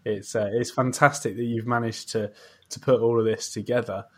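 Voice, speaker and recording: A man's voice: mid-range voice, fairly even intonation, moderate pace, a bit bright. A man hosting a casual podcast interview, speaking in an encouraging, agreeable way.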